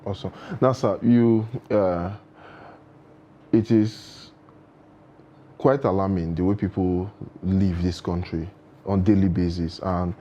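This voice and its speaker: A man with a low, mellow voice gives earnest advice full of proverbs, often restarting his sentences. The sound is dull and lo-fi, like a TV broadcast. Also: fairly even intonation, slow pace, pauses often, a little hiss or hum. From Nigeria. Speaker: guest. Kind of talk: studio interview.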